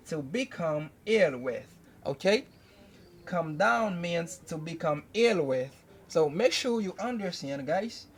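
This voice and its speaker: A young man teaching an English lesson at a whiteboard, slowly repeating each phrase several times. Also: a little high. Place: indoors at a whiteboard. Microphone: camera close by.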